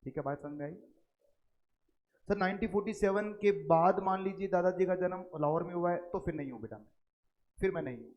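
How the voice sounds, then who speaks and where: Slow, emphatic Indian tax teacher. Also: male voice, sits high, lo-fi sound. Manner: slow, stressed English terms, rhetorical questions to students. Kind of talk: classroom lecture. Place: recording studio.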